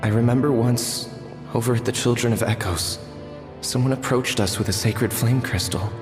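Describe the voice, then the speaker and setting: A man's voice: medium pitch, normal speed, close to an everyday voice. An actor playing a grief-stricken, guilt-bound healer who solemnly recounts his past in a video game. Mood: sorrowful. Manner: solemn, mournful, formal storytelling. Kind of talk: dramatic scripted video game narration. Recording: long echoing reverb, music underneath.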